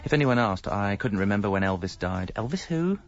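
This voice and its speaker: A man's voice: on the low side, lively intonation, a little fast, natural voice. An actor playing a middle-aged British married man who confesses his double life in a wry, articulate, self-mocking way, as radio comedy narration. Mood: wry, reflective. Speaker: narrator and lead character. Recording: dull lo-fi sound, faint music.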